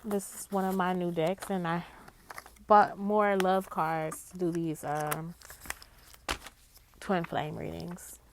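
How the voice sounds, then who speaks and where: A woman's voice: on the low side, level tone, a little hiss or hum. A woman reading tarot-style cards in a casual spiritual chat, with a nasal, congested voice, often checking in with the listener. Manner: slow and drawn-out, with frequent "okay?" check-ins. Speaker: psychic tarot reader.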